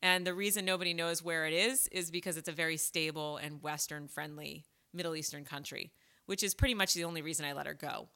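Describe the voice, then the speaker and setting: Low-voiced bright woman chatting. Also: fast talker. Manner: animated storyteller, acts out quoted voices. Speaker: doula, guest. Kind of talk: casual interview chat.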